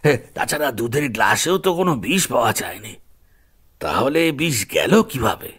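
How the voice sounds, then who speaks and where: A man playing a matter-of-fact investigator in a Bengali audio drama, with a brisk delivery. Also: mid-range voice, sing-song, fairly bright tone, breathy edge.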